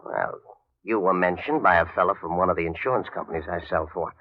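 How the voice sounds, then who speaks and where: A man's voice: low voice, quick pace. An actor playing an anxious, shifty New York bail bondsman in an old-time radio crime drama, pleading and hesitant in slangy talk. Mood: anxious, insistent. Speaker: supporting character in detective radio drama. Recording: muffled vintage 1950 radio recording.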